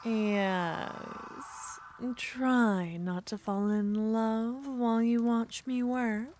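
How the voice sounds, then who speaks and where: A woman voicing a seductive, possessive witch, speaking softly in half whispers with a teasing manner in an ASMR roleplay. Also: a little high, fairly even intonation, extremely slow, slight background noise.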